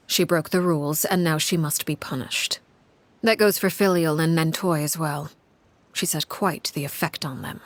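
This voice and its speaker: A cold, calmly menacing matriarch of a crime family played by an actress for a video game. The voice sits fairly low, and each sentence is measured, formal and deliberate. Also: female voice, lightly acted. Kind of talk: dramatic video game voice acting.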